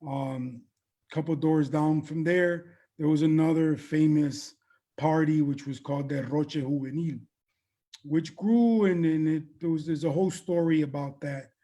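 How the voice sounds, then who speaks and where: A man in his fifties answering interview questions as a guest, speaking haltingly with frequent pauses between short phrases. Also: fairly high pitch, fairly even intonation, dark tone. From born in Colombia, raised in the US. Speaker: interview guest, veteran event producer. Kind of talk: interview answers about own background.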